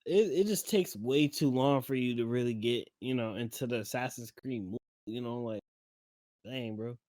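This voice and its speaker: A man's voice: medium pitch, normal speed, a little mellow, dry recording. Slangy male podcast co-host, dry sound. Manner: slangy, informal. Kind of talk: casual panel chat about games and films.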